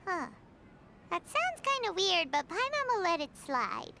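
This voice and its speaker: A woman's voice: very high voice, very animated, unhurried, gravelly, pushed and bright, slight background noise, exaggerated voice. A woman voicing a tiny floating fairy girl companion who talks about herself in the third person. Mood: chirpy. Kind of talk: game voice acting.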